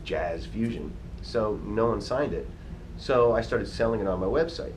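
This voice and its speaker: A man's voice: fairly low pitch, moderate pace, a little hiss or hum, poor audio. A man chatting casually in an interview, easygoing and rambling, with lots of "you know" fillers. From United States. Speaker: guitarist being interviewed.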